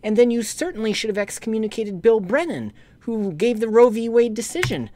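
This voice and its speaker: A man delivering a lecture voice-over over slides, scolding church leaders in a rebuking tone that climbs sharply when indignant, and turning measured when explaining history. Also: sits high, animated, darkish tone. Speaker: lay commentator addressing bishops.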